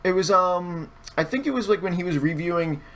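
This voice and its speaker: A man's voice: high voice, animated, fairly quick, a loud crowd. A man chatting casually as a podcast guest, stammering a little and often restarting his phrases. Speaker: podcast guest, online video creator and fan dubber.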